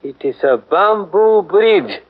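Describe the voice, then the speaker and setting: An actor playing a gruff Japanese army captain in a 1940s radio drama, firing off curt, grunting questions. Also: male voice, high voice, expressive, slow pace, exaggerated voice. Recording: muffled 1940s radio broadcast.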